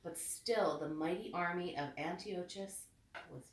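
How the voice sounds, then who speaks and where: A woman reading a storybook aloud with expressive, deliberate phrasing meant for children. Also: fairly low pitch. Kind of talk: read-aloud storybook narration. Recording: echoey room, distant mic.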